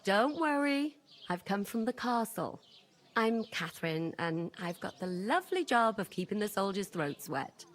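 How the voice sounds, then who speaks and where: An actress playing a bold young castle barmaid who teases and mocks. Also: female voice, mid-range voice, sing-song, a little slow. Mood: confident. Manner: teasing, mocking.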